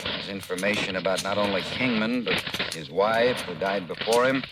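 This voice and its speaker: An actor playing a harried, folksy American insurance manager in a 1950s radio drama, talking in a conversational way. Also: male voice, sits low, normal speed, close to an everyday voice. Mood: concerned. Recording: noisy, clicking vintage radio recording.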